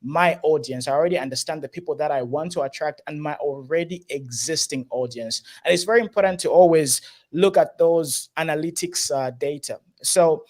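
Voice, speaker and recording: A young man from Zambia talks in an online interview. His voice is squeaky and sits a bit high. He explains his points earnestly, full of fillers and restarts. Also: moderate pace, a little mellow. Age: young adult. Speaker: guest, entrepreneur. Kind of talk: online interview, advice talk.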